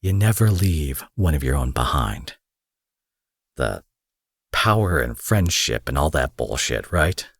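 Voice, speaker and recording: A man acting out a cocky, foul-mouthed young supervillain in an audio roleplay, husky, mocking and possessive, taunting his captive with drawled pet names. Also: extremely low pitch, very animated, measured pace, dry recording, clean studio sound, exaggerated voice. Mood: mocking, insistent. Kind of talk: dramatic roleplay monologue addressed to the listener.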